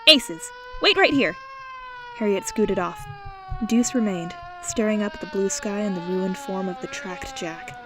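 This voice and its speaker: A woman narrating a dieselpunk noir audiobook with steady, clear storytelling. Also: mid-range voice, normal speed, noisy background. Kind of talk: scripted narration.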